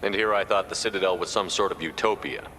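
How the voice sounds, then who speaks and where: A man's voice: low voice, fast talker, sharp tone, a little hiss or hum. A man playing a confident, wry military commander in a video game, with a direct, casual and dry way of talking. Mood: inquisitive, dry.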